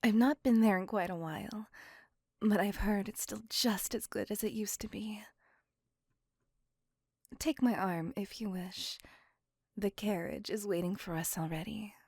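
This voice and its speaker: A woman playing a courteous, doting, possessive vampire in a hushed, breathy ASMR roleplay, speaking gently in formal, courtly phrases. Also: medium pitch, measured pace, pauses often, no room sound, clean studio sound. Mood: tender, charmed. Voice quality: breathy, hushed near-whisper.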